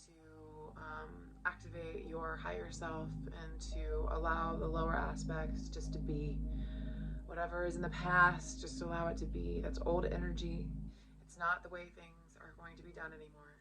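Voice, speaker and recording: A woman teaching yoga leads a guided meditation in a soothing, gentle, unhurried way with pauses between phrases. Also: deep voice, level tone. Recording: loud music under the voice. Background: music.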